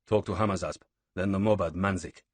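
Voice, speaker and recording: Guy doing a roman commander barking orders, low husky voice, urgent. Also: steady tone, a little fast, no echo. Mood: firm. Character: stern Roman commander in battle, male.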